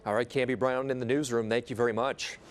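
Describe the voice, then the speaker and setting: A man's voice: mid-range voice, fairly even intonation, fast, background noise. A man anchoring a local evening newscast, reading scripted stories and handing off to reporters. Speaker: news anchor.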